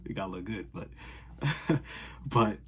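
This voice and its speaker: A young man from the Boston area, vlogging in casual, sarcastic rambles that swing from loud outbursts to whispers, recorded on his phone in his bedroom. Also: lowish voice, expressive, unhurried, a little hiss or hum. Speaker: fragrance vlogger. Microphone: phone camera.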